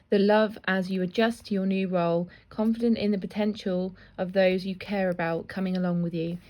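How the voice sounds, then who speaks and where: A woman with a slightly croaky voice gives a casual spiritual card reading, chatty and self-deprecating. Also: medium pitch. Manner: chatty, warm asides, often laughs at herself. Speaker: intuitive card reader.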